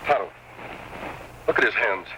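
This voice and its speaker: A man's voice: lowish voice, animated, normal speed, close to an everyday voice. An actor playing a masked lawman hero in an old Western radio drama, with an American accent and an earnest, authoritative delivery. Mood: thoughtful, decisive. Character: masked lawman hero, steady, commanding, upright. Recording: old radio recording, faint noise.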